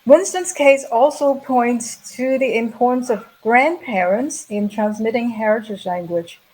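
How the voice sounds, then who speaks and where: A middle-aged woman delivers a conference plenary talk, reading prepared remarks in a measured, explanatory way. Her voice sits slightly higher than most women's. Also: darkish tone, poor audio. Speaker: plenary speaker. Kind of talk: academic conference lecture.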